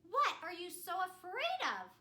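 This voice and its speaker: A woman's voice: extremely high pitch, huge pitch swings, measured pace, sharp tone, roomy sound, full character voice. A woman voicing an earnest, pleading young princess in a children's storybook telling, with emphatic delivery. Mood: hurt, pleading.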